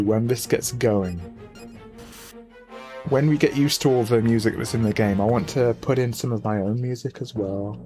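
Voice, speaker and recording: A man's voice: medium pitch, a little mellow. Musing man narrating a video game. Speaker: let's player, gaming video host. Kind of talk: casual game commentary, reading game text aloud. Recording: noisy home microphone, game music behind. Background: video game music.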